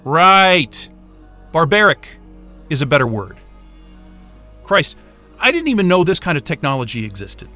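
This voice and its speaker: An actor playing an exasperated, sarcastic but soft-hearted astrophysicist in a naturalistic science-fiction audio drama. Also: male voice, a little high, big pitch swings, a little slow, long pauses, soft background music, exaggerated voice. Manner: sarcastic, muttering, casual swearing.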